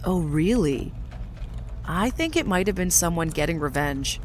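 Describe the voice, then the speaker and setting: A woman's voice: fairly low pitch, lively intonation, a little slow, slight background noise. A woman playing a gleeful, sinister female alien posing as an office worker in an audio drama, with a mock-innocent, taunting delivery. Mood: feigned innocence.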